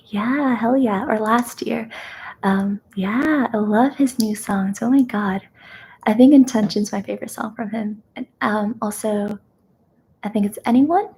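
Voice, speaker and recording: A young woman born in China, whose first language is Kazakh, hosting a live ASMR question-and-answer chat in a gentle, soft-spoken way that slips into whispers. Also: fairly high pitch, slightly rough. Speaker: ASMR host.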